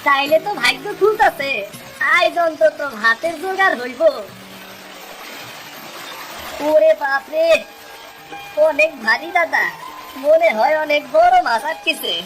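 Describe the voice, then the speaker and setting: High-pitched frustrated young fisherman, voiced by a woman. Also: unhurried, fairly bright tone, soft background music, low-quality recording. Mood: hopeful. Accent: rural Bengali dialect. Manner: complaining, plaintive. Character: frustrated, despairing younger brother, poor village fisherman. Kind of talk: cartoon story acting.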